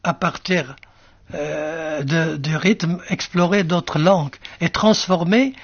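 An older male writer whose first language is Arabic, musing slowly in an interview with many fillers and restarts. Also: a little high, fairly even intonation, husky, no room sound, highs cut off. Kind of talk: reflective interview answers.

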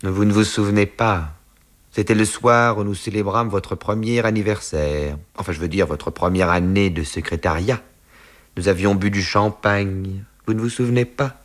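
A lowish-voiced, self-important gentleman played by a man reading a novel, formal and grandiloquent. He weighs his words deliberately, like a father making pronouncements in a storybook. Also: lightly acted. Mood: insistent, reminiscing.

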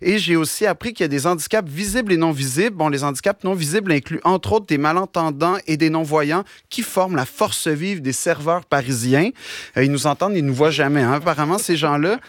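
A Québécois man reading a comic radio column in a dry, close studio sound. His voice sits a touch high, and his delivery is deadpan and self-mocking, broken up by hesitant fillers. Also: fairly quick, dry recording. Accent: Québécois French. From Quebec. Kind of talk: scripted comic chronicle. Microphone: studio microphone.

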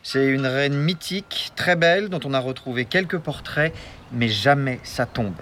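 Actor as an earnest young archaeology assistant. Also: male voice, medium pitch, normal speed, fairly bright tone, a little hiss or hum, natural voice. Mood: explanatory. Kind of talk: audio drama dialogue.

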